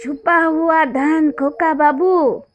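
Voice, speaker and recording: A woman's voice: high-pitched, fairly even intonation, slow, slight background noise. A woman voicing a sly, deferential rustic man in an audio story, with a Hindi-influenced Bengali accent.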